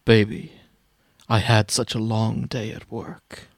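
A man's voice: fairly low pitch, monotone, takes it slowly, gravelly, no echo, exaggerated voice. Flirty male lover acted by a man, weary and sweet, full of pet names, close dry sound. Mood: weary, affectionate. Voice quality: tired yet sweet.

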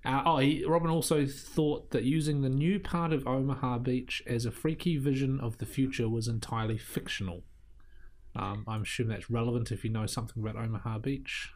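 A man's voice: medium pitch, fairly even intonation, a little fast. A man with a New Zealand accent hosting a podcast in casual chat, joking and reading listener emails aloud. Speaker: podcast host.

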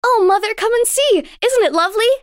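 Woman as an eager, high-voiced little girl. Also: a little fast, no echo, studio quality. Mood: excited. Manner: excited, earnest, questioning. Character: eager wide-eyed young girl, curious, sweet, innocent.

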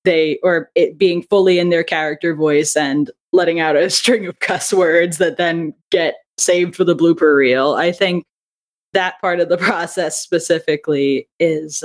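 A woman's voice: low-pitched, fairly even intonation, unhurried. A young adult woman chatting casually as an interview guest, with a giggly, talkative manner. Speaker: interview guest, audio drama writer and director.

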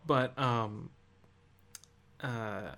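A man co-hosting a casual video game podcast, speaking in a halting, deliberate way as he thinks aloud. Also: medium pitch, gravelly, sharp tone. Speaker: podcast co-host.

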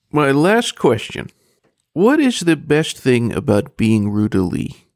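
A male host, relaxed and quick to laugh, chatting with his guest. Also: mid-range voice, no echo, studio quality. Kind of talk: casual interview chat.

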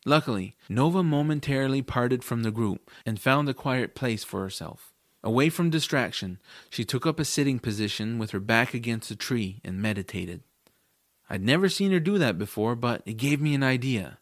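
A man's voice: medium pitch, animated, normal speed, no room sound, studio quality, natural voice. A man playing an earnest, self-doubting boy hero who narrates a fantasy audio drama in the first person. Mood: reflective. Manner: earnest, youthful phrasing.

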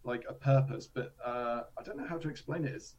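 A man, a slightly hoarse guest chatting casually about tabletop games, with self-deprecating asides. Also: medium pitch, a little fast, muffled recording, crowd noise. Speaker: guest, tabletop game designer. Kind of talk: casual interview chat.

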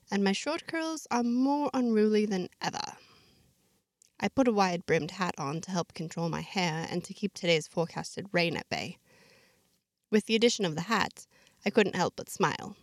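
A woman's voice: fairly low pitch, dry recording, studio quality. A woman narrating a serialized fantasy story in the first person, in a chatty, diary-like way with asides to the listener. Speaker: narrator of a serialized fiction podcast.

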